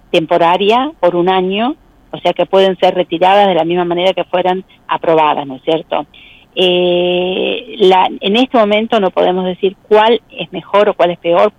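A woman with a provincial Buenos Aires accent explaining things as an expert interviewee, in measured, hesitant phrasing. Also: lowish voice, darkish tone, dry recording, highs cut off. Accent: provincial Buenos Aires Argentine. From Argentina.

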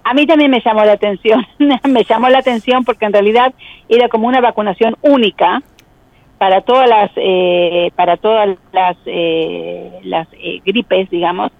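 A woman with a provincial Buenos Aires accent explaining things as an expert interviewee, in measured, hesitant phrasing. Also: mid-range voice, creaky, no room sound, dull audio. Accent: provincial Buenos Aires Argentine. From Argentina.

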